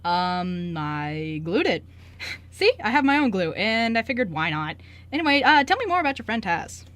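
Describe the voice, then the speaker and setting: A woman's voice: mid-range voice, sing-song, moderate pace, a bit bright. A woman playing a breezy young male journalist with secret magic in an amateur radio play, talking in a casual, joking, slangy way. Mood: breezy, evasive.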